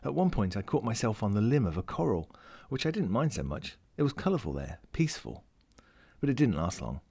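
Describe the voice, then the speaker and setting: A man's voice: on the low side, very animated, fairly quick, vocal fry, dark tone, breathy edge, full character voice. A man voicing a lonely, wry lost ring as he reads a first-person short story in a dramatic, melancholic way. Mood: resigned, reflective. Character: lonely, world-weary, wry sentient ring lost at sea.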